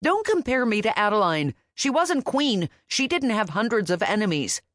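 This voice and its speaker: A woman's voice: medium pitch, normal speed, no echo, studio quality, pitched above the performer's own voice, natural voice. A woman voicing a stubborn, fiery young queen who talks with defiant emotion.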